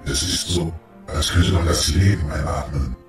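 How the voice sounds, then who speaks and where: A man voicing a huge, meek and devoted talking tiger in a fantasy audio drama, slow and submissive. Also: rumbling low, pitched below the performer's own voice. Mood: awed. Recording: echoey voice over music.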